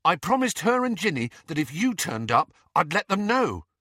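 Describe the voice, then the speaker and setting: A man's voice: sits high, big pitch swings, moderate pace, bright, forceful tone, dry recording, studio quality, voice pushed up, full character voice. A man voicing an earnest, indignant teenage boy rebel in an audiobook, speaking insistently and emphatically.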